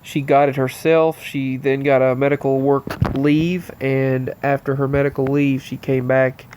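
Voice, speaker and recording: A man's voice: mid-range voice, flat delivery, unhurried, darkish tone, a little hiss or hum, low-quality recording. A man hosting his channel, with a stuffy nose from allergies, running loosely and earnestly through a list of strange news in a casual monologue. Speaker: channel host.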